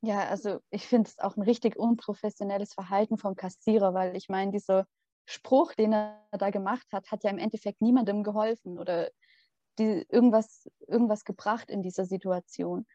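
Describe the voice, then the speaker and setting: A young woman of twenty-one talks casually in a mellow, dry voice, pausing often with fillers. The recording is dull and a bit lo-fi, with no room sound. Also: medium pitch, steady tone, dry recording. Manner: hesitant, many fillers. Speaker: student podcast co-host. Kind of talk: casual chat.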